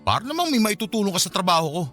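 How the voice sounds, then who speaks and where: A man's voice: high voice, big pitch swings, rapid-fire, bright, forceful tone, soft background music, heavily acted. A man acting an irritable, dismissive, resentful young husband in a Filipino radio drama, with a Filipino accent. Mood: defensive, irritated.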